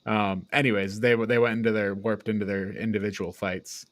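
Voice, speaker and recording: A man with a fairly low voice talks through a recap as a podcast co-host. His delivery is informal and conversational. The sound is dry, with very little room on it. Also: flat delivery, fairly quick, dry recording. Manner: informal, swears casually. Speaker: podcast co-host reviewing films. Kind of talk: casual recap discussion.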